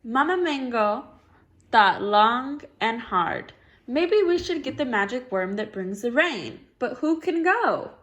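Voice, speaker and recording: A woman's voice: on the high side, lively intonation, takes it slowly, fairly bright tone. A woman reading a picture book aloud to a child, encouraging and often echoing answers back as questions. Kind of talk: dialogic storybook reading with questions.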